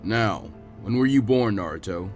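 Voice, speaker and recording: A man's voice: on the low side, animated, moderate pace, soft background music, voice pushed down, natural voice. A man voicing an earnest, firm, reassuring adult ninja teacher in storybook dialogue. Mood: earnest, explaining.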